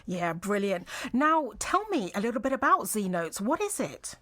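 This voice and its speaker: A woman's voice: medium pitch, sing-song, normal speed, fairly bright tone. Woman hosting live radio. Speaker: radio presenter interviewing a guest.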